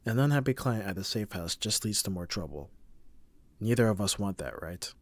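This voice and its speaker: A man playing a gruff, teasing, protective young bodyguard with a husky voice in an ASMR roleplay, sardonic but reassuring. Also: fairly low pitch, lively intonation, moderate pace, no echo. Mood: frustrated, reassuring. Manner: sardonic, abrasive yet reassuring. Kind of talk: ASMR roleplay audio drama.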